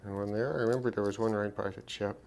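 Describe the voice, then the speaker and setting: A man casually narrating a hands-on repair demonstration, thinking aloud in an unhurried way as he works. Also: lowish voice, steady tone, gravelly, darkish tone, a little hiss or hum. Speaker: repair video host.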